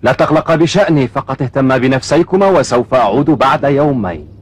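A man voicing a brisk, resolute young seafarer with a husky voice in an Arabic cartoon dub. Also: medium pitch, lightly acted. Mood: reassuring.

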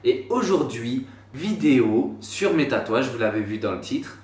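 A man's voice: mid-range voice, sing-song, a little slow, a little mellow. A man in his twenties chatting casually to camera in a slangy, rambling way, with self-mocking asides, recorded indoors in a small room. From France. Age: 20s. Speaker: YouTuber. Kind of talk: casual vlog talk to camera. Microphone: camera a few feet away. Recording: roomy, slightly distant sound.

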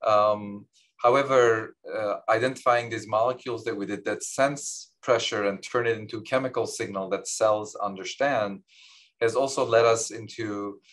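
A man's voice: on the low side, a bit bright. A man who grew up in Lebanon with Armenian as his first language, speaking modestly over a video call from an office, with many restarts and fillers. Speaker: Nobel laureate guest. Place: office with large window. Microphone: video call webcam.

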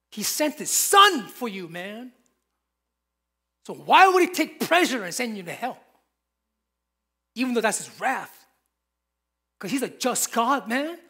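A man's voice: extremely high pitch, pushed and bright, breathy edge. A man, preaching a sermon from a church pulpit with emphatic, repeated phrases. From Korea. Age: 50s. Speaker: pastor.

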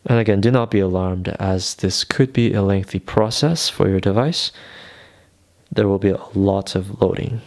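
Low-voiced man giving a phone tutorial. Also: normal speed, darkish tone. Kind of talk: casual step-by-step tech tutorial.

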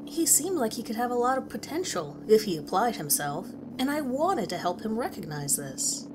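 Concerned teacher played by a woman, horror story narration, lively varied intonation, noticeable ambient noise behind. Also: on the high side, moderate pace. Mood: uneasy, reflective. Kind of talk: dramatic scripted narration. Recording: clearly audible background ambience noise.

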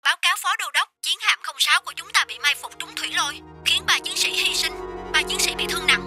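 A woman's voice: very high-pitched, fast talker, sharp tone, no room sound, faint music behind, pitched above the performer's own voice, exaggerated voice. A woman voicing an urgent navy subordinate in a cartoon, reporting formally to a superior.

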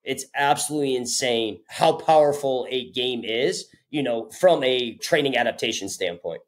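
Brief: thirties coach from Connecticut, casual and animated, webcam sound from a home office. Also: male voice, medium pitch, moderate pace. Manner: energetic, animated, casual with mild swearing. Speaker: strength and conditioning coach, guest. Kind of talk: casual interview storytelling. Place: home office with ceiling light, indoors. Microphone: video call webcam.

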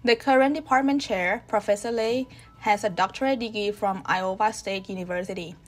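Steady female narrator over music. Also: mid-range voice, normal speed. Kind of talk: scripted promotional narration.

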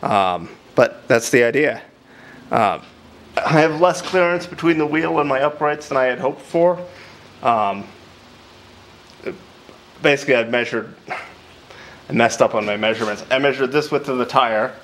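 A man's voice: mid-range voice, normal speed, pauses often, fairly bright tone, low-quality recording. A middle-aged man casually explaining his work in an informal, hesitant, self-deprecating way, recorded in an indoor workshop. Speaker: maker presenting a workshop build. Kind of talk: casual explanation while working. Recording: faint workshop background noise.

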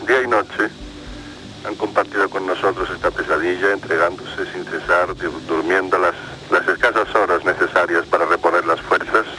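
A man's voice: sits low, fairly even intonation, moderate pace. A man from Lebanon formally reading out a statement of thanks. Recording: noisy archive recording.

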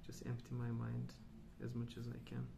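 A man speaking softly and breathily in an ASMR style, often near a whisper, slow and calm with hesitant pauses between short phrases. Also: mid-range voice. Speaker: ASMR host. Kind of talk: soft-spoken rambling ASMR. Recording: clear background noise.

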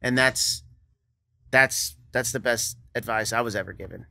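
Bright-voiced young man, easygoing guest. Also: medium pitch, a little slow, creaky, no room sound, studio quality. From Kansas. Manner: easygoing, laughs often, trails off with "you know". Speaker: songwriter and producer guest. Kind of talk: casual interview chat. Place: studio set with brick wall and couch. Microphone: studio mic on boom arm.

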